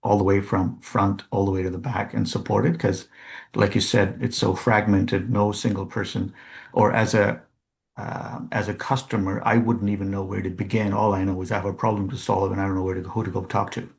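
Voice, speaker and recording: Male participant, methodical questioner, fry in the voice. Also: fairly low pitch, level tone, a little fast, darkish tone. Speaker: panel participant asking a question. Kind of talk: meeting question.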